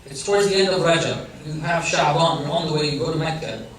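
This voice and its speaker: Man, religious lecture, conversational, questioning, slightly high voice, heavy echo, distant mic. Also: fast talker, slight background noise. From Iranian family background. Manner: conversational, asks the audience questions. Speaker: speaker giving a religious lecture. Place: large hall or center. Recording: very echoey hall, heard from a distance.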